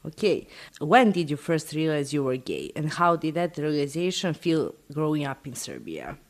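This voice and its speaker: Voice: mid-range voice, sing-song, moderate pace. An interviewer reading questions with some fillers.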